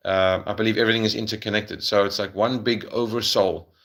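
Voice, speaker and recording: A man's voice: fairly low pitch, steady tone, a little fast, fairly bright tone. A middle-aged man with a South African accent, answering viewers' questions on a casual livestream, hesitant, with many ums and restarts.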